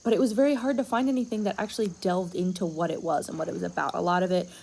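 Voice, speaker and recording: A young woman presenting a school project in a casual, halting way, stuttering and pausing often and dropping to a whisper at times, recorded on a tablet at home late at night. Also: on the low side, fairly even intonation, vocal fry, darkish tone. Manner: stuttering, halting, with many pauses and fillers. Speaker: student presenting a class project.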